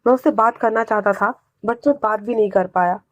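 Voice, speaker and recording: A woman's voice: mid-range voice, brisk, no room sound, close to an everyday voice. A woman playing an irritated, brooding man speaking curtly. Her own voice, close and dry. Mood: frustrated.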